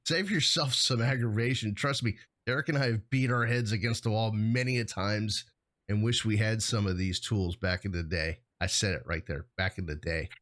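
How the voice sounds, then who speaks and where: A man's voice: on the low side, fairly even intonation, normal speed, fairly bright tone. A middle-aged man hosting a show in casual chat, rambling a little with a jokey, hesitant delivery. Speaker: show host.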